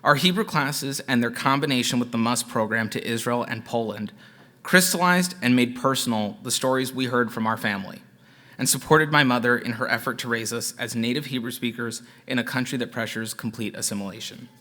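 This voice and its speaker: Young guy reading a heartfelt gala toast to his parents, bright clear voice, earnest. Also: mid-range voice. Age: young adult. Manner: earnest, heartfelt, measured delivery. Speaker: alumnus and son giving a gala tribute. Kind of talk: prepared speech read at a gala.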